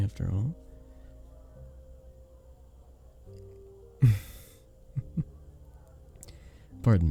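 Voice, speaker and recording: Very mellow, flirtatious vampire bartender played by a man. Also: lowish voice, full character voice. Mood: teasing, flirtatious. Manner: smooth, teasing, flirtatious, unhurried pauses. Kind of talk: scripted ASMR roleplay, addressing the listener.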